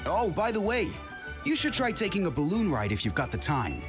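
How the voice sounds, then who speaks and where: A soft-spoken, resigned young man. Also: sits high, wildly swooping pitch, brisk. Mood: friendly. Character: gentle young male balloon operator, modest and resigned. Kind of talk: video game voice acting. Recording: game music underneath.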